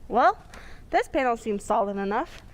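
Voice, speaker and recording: A woman plays an inquisitive lady companion in an old-time detective radio play. Her voice is high and very animated, with faint noise behind it. She stays poised and polite. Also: moderate pace, lightly acted. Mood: curious, poised.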